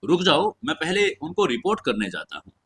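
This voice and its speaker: A man's voice: a little high, wildly swooping pitch, brisk, fairly bright tone, breathy edge, voice pushed up, full character voice. A man voicing a wary junior wizard gatekeeper in an audio story, formal, guarded and politely officious. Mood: hurried.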